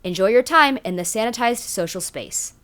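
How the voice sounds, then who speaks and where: A woman's voice: a little high, animated, moderate pace, a bit bright. A woman voicing a polite, detached automated announcer in an audio drama, formal and instructional.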